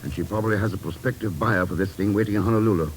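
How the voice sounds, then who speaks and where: An actor playing a cool, shrewd, dryly witty investigator in an old radio drama, measured and deliberate. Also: male voice, lowish voice, slight background noise, natural voice, low-quality recording. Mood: shrewd, deducing. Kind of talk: 1940s-50s American radio drama acting.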